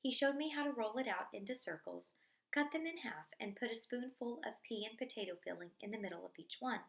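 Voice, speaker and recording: A woman reading a diary-style story aloud in an expressive narrating style, acting out the dialogue. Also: fairly high pitch, fast, creaky. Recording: echoey room.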